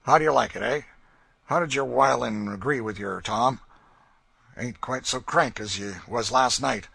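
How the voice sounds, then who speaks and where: Here is a cruel, mocking master, as a male reader puts him on, loud and cutting, with a voice pushed higher than the reader's own. He taunts and threatens with open scorn in a coarse drawl. The tone stays bright and forceful throughout. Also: moderate pace, creaky, slightly rough, dry recording, full character voice. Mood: taunting. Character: brutal, sneering male slave master.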